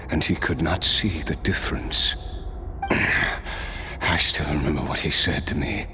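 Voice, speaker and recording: An actor playing a hardened immortal man who slowly and reflectively recalls his past, in a video game. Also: rumbling low, sharp tone, heavily acted. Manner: slow, reflective storytelling.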